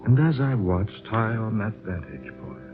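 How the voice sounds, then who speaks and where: Low-voiced, earnest, brooding writer. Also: male voice, sing-song, a little slow, over loud music. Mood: solemn, confiding. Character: earnest, troubled male fantasy writer searching for truth. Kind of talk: 1940s radio drama acting and narration. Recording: muffled, noisy vintage radio recording.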